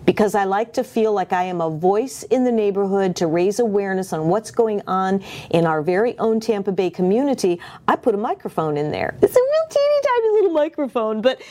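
A woman's voice: medium pitch, fairly quick. Bubbly woman hosting a show. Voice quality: big voice. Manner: bubbly, animated, chatty. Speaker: talk show host. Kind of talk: casual unscripted show chat.

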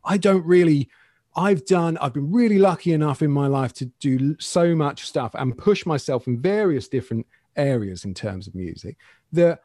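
A man in his forties, a guitarist being interviewed, talking in a relaxed, candid and rambling way. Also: fairly high pitch, normal speed, no room sound, clean studio sound. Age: 40s. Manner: relaxed, candid, loose and rambling with frequent "you know what I mean". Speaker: guest guitarist. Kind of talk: casual interview chat.

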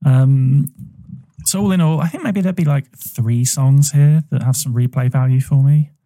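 A man co-hosting a podcast, giving a measured, thoughtful album review with frequent ums. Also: medium pitch, warm tone, no echo. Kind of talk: casual review chat.

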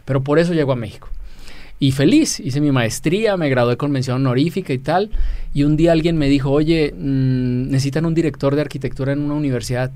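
A man's voice: medium pitch, fairly quick, warm tone, no echo. A man in his forties, an educator and interview guest, telling his own story casually with bits of quoted dialogue. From Colombia. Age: 40s.

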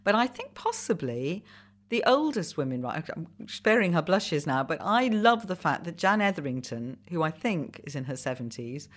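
Low-voiced Welsh woman, hesitant. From Wales. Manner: hesitant, full of ums and restarts. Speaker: guest, comedy writer and former commissioning editor. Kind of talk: casual podcast conversation.

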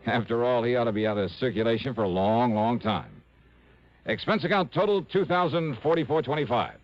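A man's voice: mid-range voice, sing-song, a little fast. An actor playing a shrewd, confident male investigator in a 1960s radio drama, with a wry, punchy delivery. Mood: brisk, satisfied. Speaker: lead actor and narrator. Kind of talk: 1960s radio detective acting. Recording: muffled old radio broadcast recording.

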